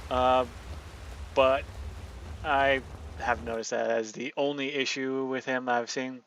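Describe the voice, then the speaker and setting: A man's voice: mid-range voice, a bit bright, rough recording. Man casually commentating, faint noise behind. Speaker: gaming video host. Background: video game ambience.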